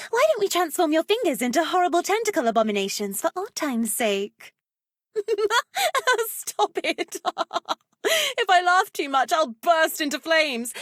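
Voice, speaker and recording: A seductive dark sorceress for a video game, speaking at a leisurely, savoring pace. She is teasing and theatrical, with a wicked streak and a tendency toward breathy softness. The sound is close and dry. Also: a little high, no room sound. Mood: giggly, playful. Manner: sultry, teasing, theatrical, slips into breathy whispers. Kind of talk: video game character voice lines.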